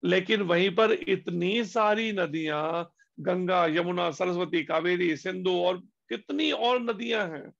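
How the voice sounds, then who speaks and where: A man's voice: sits high, vocal fry, fairly bright tone. Guy giving a spiritual talk, lots of rhetorical questions, dull lo-fi sound. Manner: slow, deliberate emphasis with pauses on key words. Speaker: spiritual teacher. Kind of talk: devotional discourse. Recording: dull lo-fi recording.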